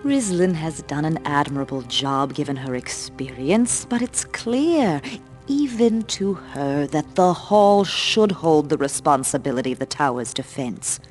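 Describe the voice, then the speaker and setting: A low female voice plays a haughty senior councilwoman in a fantasy game cutscene. She speaks formally and with measured authority, as one used to deference. Music plays faintly behind her. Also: lively intonation, a little mellow. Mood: cool, self-assured. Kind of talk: scripted video game cutscene acting.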